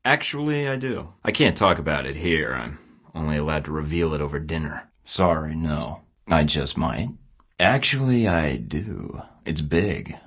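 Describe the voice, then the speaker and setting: Flirty sarcastic spy dude from a game, super low husky voice. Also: male voice, expressive, unhurried, fairly bright tone, heavily acted. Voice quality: very deep, husky voice. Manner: dry sarcastic quips. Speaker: video game protagonist voice. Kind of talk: game voice lines.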